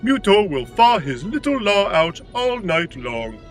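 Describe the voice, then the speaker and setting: A childlike clone creature, acted by a man for a comedy audio drama, with a highish, very animated delivery. It is earnest and easily confused, with broken grammar, over faint music. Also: a little slow. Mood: puzzled, earnest. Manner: refers to itself in third person, stilted broken grammar.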